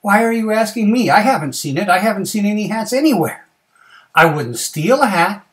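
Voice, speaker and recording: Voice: medium pitch, animated, moderate pace, voice pushed up, natural voice. A reader voicing a shifty storybook rabbit who gives defensive, over-insistent denials. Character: defensive, shifty rabbit denying a hat theft.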